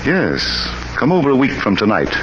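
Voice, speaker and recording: An actor playing a polite librarian who hosts a crime series, in 1940s radio announcing on a muffled, hissy broadcast. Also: male voice, medium pitch, wildly swooping pitch, moderate pace. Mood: courteous. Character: courteous male librarian host of the crime series. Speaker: host. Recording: muffled old radio broadcast, heavy hiss.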